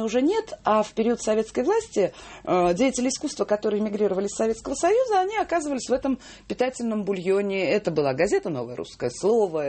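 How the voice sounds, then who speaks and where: Chatty radio host woman. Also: medium pitch. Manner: lively, conversational, with drawn-out fillers. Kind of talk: radio interview hosting.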